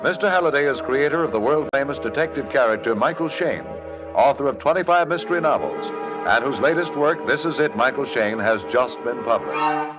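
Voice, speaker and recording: A man's voice: mid-range voice, animated, brisk. Muffled vintage American male radio announcer. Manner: formal, declamatory. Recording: muffled old radio recording with music.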